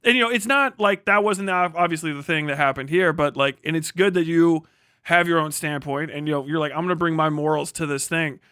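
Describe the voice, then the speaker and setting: A man's voice: a little high, fairly quick, pushed and bright, clear, resonant tone, dry recording, clean studio sound. A man hosting a livestream in casual chat, rambling along and swearing freely. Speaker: livestream host.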